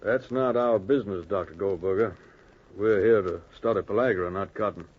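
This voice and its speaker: An actor playing a practical, dutiful medical assistant in 1940s radio-drama style, speaking matter-of-factly. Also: male voice, low voice, normal speed, breathy edge. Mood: businesslike. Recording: dull 1940s radio recording.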